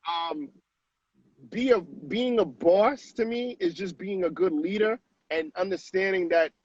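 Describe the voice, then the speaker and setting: High-voiced man on a dull video call. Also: unhurried. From Haiti. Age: 30s. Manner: animated, with frequent tag questions. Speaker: guest. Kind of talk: casual storytelling on a live stream.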